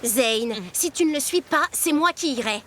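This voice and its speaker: Firm, high-voiced cartoon girl. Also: animated, normal speed. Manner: firm, reasoning. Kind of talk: animated cartoon dub. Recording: loud music behind.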